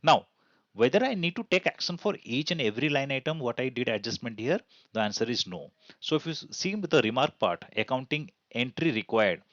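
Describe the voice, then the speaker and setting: A man's voice: medium pitch, sing-song, brisk, a bit bright, no echo, highs cut off. A man with an Indian accent giving an explanatory accounting tutorial in a didactic way, asking and answering his own questions. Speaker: accounting instructor. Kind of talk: explanatory tutorial over slides.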